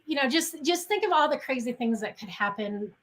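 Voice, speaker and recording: Bright, high-voiced middle-aged woman. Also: animated, fairly quick, a little hiss or hum. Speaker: interview guest, horror writer. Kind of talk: casual chat.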